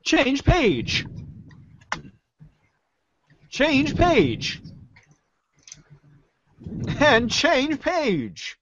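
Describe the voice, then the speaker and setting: A woman's voice: high voice, wildly swooping pitch, drawn-out words, long pauses. A woman hosting a casual live amateur show and directing the readers. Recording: lo-fi with clear background noise.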